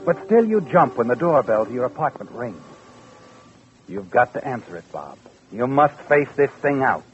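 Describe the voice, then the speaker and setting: A man's voice: mid-range voice, very animated, normal speed, natural voice. An actor playing a knowing, sinister omniscient narrator of a 1940s radio mystery, taunting a guilty man. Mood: ominous, knowing. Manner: knowing, ominous, addressing listener as the culprit. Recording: old radio recording, narrow band, music behind.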